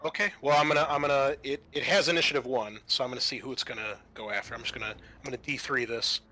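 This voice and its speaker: A man acting as game master, narrating a tabletop roleplaying session in a halting, improvised way with many fillers. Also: medium pitch, fairly even intonation, bright, forceful tone.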